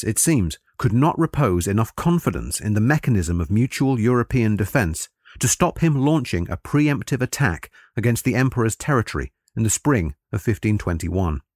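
A man reads a scripted historical narration in a formal, scholarly manner. His fairly low voice rises and falls in lively arcs across each measured sentence. The sound is dry and close, with no room around it. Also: no room sound.

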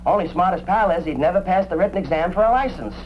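A proud animal-act agent from old-time radio. The voice is high and very animated, rattling on in folksy slang, heard through a dull vintage recording with faint noise. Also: male voice, fast talker. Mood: boastful, chatty. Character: chatty, proud male animal-act agent, showbiz enthusiast.

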